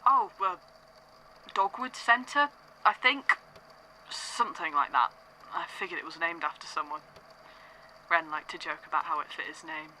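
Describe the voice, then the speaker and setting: An actor playing a shaken young man giving a subdued, halting statement in a fan audio drama. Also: fairly high pitch, bright, forceful tone, slight background noise, rough recording. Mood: hesitant. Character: shaken young man recounting theatre disaster. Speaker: statement giver.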